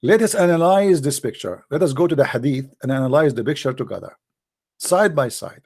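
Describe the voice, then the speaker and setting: Gravelly, mellow-voiced man hosting a call-in show. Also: mid-range voice, expressive, brisk. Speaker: host of a religious debate call-in show. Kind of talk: live call-in commentary and polemic.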